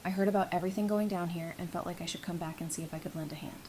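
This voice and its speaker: A woman's voice: on the low side, steady tone, very fast, mellow, slight background noise, close to an everyday voice, low-quality recording. A woman playing a tough, caring survivor with a familiar, teasing way of talking in a fan audio drama. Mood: teasing, friendly.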